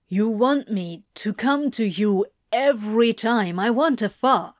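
Cynical male demon, performed by a woman, sharp, incredulous storybook delivery, dry sound. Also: medium pitch, a little slow, dry recording, natural voice. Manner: pointed, sardonic.

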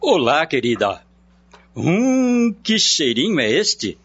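An animated, evasive husband. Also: male voice, a little high, takes it slowly, heavily acted. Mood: falsely cheerful. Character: placating, evasive, wry husband. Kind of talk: radio soap acting. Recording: dull audio, faint hum and noise.

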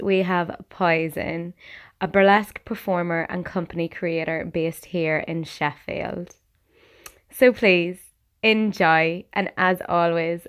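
A woman's voice: on the low side, fairly bright tone. A woman, hosting a podcast and interviewing her guest with eager, attentive questions. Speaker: podcast host.

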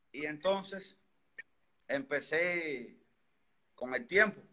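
A man in his thirties with a Cuban accent tells his own story in a high, bright voice. He talks earnestly, circling back and restarting his sentences often. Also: sing-song, extremely slow. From Cuba. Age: 30s. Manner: earnest, rambling, many restarts. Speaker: interview guest. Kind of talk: personal story in conversation.

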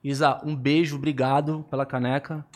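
A man's voice: medium pitch, flat delivery, fairly quick. A man co-hosting a podcast, talking in an upbeat, informal and promotional way. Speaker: podcast co-host. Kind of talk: casual podcast chat.

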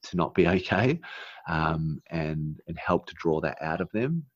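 A man raised in Australia after a New Zealand childhood, speaking as an interview guest in an earnest, halting way with many fillers. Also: sits low, lively intonation, dry recording, lo-fi sound. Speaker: guest, naturopath. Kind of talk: casual interview chat.

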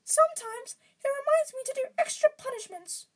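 A woman voicing a small, earnest, servile storybook house elf, very high-pitched, deferential and prone to wailing. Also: moderate pace. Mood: resigned, servile. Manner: deferential, wailing, speaks in third person. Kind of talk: storybook character voice.

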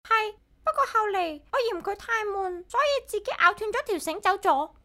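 A woman playing a chatty, bossy, proud talking parrot in a radio drama, with a shrill, squawky voice and a blunt, childlike manner. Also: lively intonation, moderate pace, bright, forceful tone, heavily acted. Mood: matter-of-fact. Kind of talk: Cantonese radio drama acting.

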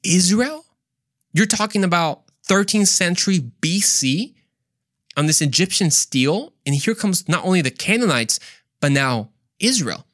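A man's voice: high voice, expressive, a little slow, fairly bright tone, no echo, clean studio sound. A man hosting a podcast, telling stories casually and conversationally in a playful, joking manner with exclaimed asides. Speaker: podcast host. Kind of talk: casual, conversational storytelling to listeners.

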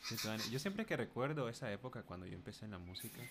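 A man's voice: fairly low pitch, big pitch swings, quick pace, fairly bright tone. A man with a Nicaraguan accent hosting a podcast, asking questions and chatting casually, with noise around his voice. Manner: frequent backchannel affirmations. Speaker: podcast host. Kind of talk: casual interview chat.

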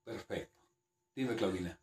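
Hombre mexicano bromista, sala con eco. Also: male voice, deep voice, a little slow, darkish tone, echoey room, a little hiss or hum. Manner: joking, nervous, laughs often. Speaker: host. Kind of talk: casual interview chat.

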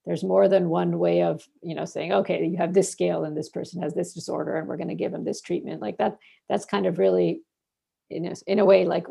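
Woman from bangladesh, husky dark voice, rambly answers with lots of um and like. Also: on the low side. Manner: rambling, many fillers and restarts. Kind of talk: casual interview answers.

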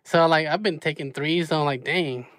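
A man's voice: a little high, normal speed, fairly bright tone. A man hosting a casual podcast chat, laid-back and laughing often, with lots of fillers. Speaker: podcast host.

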